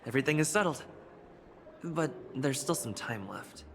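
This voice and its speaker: An actor playing a calm, caring young male traveler thinking aloud in a low-key, reflective way in a game. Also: moderate pace, vocal fry, background noise. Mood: calm, musing. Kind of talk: game voice acting, quiet monologue.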